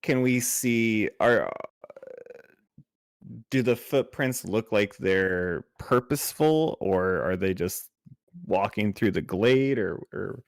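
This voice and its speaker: Man, tabletop player, casual chat, lowish pitch, slow pace, dry sound. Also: lively intonation, clean tone, no echo. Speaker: player in a tabletop role-playing game.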